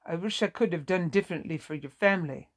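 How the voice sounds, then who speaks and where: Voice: mid-range voice, quick pace, lightly acted. A woman voicing a short, weary Vietnamese nurse in an audiobook, sorrowful, apologetic and plain-spoken, with a Vietnamese accent. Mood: regretful, strained. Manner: folksy, apologetic, emphatic. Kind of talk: audiobook character dialogue.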